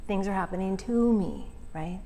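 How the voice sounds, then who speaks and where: A woman with a mid-pitched voice explains things conversationally as a coach being interviewed. She is outgoing and often checks in with a quick tag question. The room rings like a hall, with noticeable noise behind her. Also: dark tone. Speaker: forgiveness coach, interview guest. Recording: echoey hall with clear background noise.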